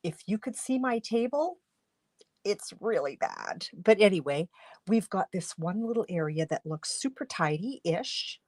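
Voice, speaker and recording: A woman from Canada hosting a casual craft livestream from her home studio, congested with a cold, chatty and rambling, often clearing her throat. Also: medium pitch, expressive, a little slow, a little mellow, no echo. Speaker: livestream host and stamping demonstrator.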